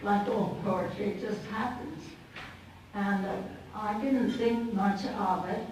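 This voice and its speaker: A woman, addressing an audience with personal stories in short, deliberate phrases. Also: medium pitch, a little mellow. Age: early 90s. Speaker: poet and guest speaker. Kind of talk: personal anecdotal speech to an audience. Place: indoor conference banquet room. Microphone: microphone, sounding distant. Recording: very echoey hall, distant, faint noise.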